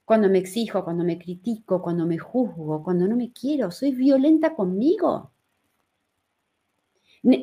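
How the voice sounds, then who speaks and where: Female teacher, Uruguayan accent, fairly high voice. Informal live-class delivery, repeats for emphasis, checks in often. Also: mellow. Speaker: human design teacher. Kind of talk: live online teaching talk, conversational.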